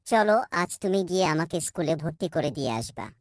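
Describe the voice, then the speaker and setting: A woman's voice: low-pitched, expressive, normal speed, dry recording, clean studio sound. A woman voicing an insistent young village boy in a cartoon, whining and pleading.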